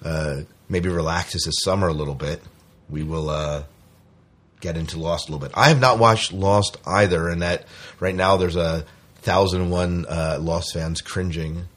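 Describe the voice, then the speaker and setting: A man's voice: low-pitched, steady tone, normal speed, fairly bright tone. A man hosting a podcast, chatting casually through science fiction news and putting questions out in a conversational way. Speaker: podcast host. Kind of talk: casual sci-fi news chat.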